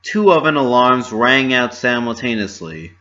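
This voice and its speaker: A man's voice: medium pitch, animated, normal speed, pushed and bright, dull audio. A man narrating a story as a scripted dramatic reading.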